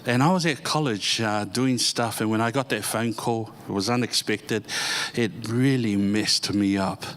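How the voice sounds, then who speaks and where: A man, a pastor introducing himself to a church audience in a halting, heartfelt way with many pauses and restarts. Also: fairly low pitch, a bit bright, a little hiss or hum. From born in Samoa, grew up in New Zealand.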